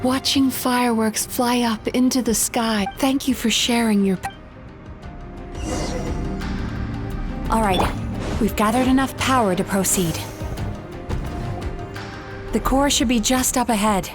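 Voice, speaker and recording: Actress as gentle young female healer-fighter, game dialogue; high voice, earnest and composed, loud music behind. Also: unhurried, long pauses, close to an everyday voice. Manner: polite, composed, articulate. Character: gentle, earnest young woman, dream-healing therapist fighter. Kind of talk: video game character dialogue.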